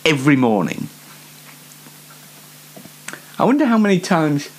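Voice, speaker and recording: A man's voice: a little high, sing-song, unhurried, rough recording. A British man hosting an unscripted comic news show in a rambling, self-mocking way. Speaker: host of a comedy news show.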